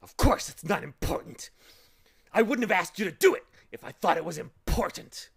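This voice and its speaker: A man acts out a villainous demon of petty tasks from a storybook. He is theatrical, sour and falsely coaxing. His slow, weighty delivery swings widely in pitch. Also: sing-song. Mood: indignant roaring. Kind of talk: storybook character voice.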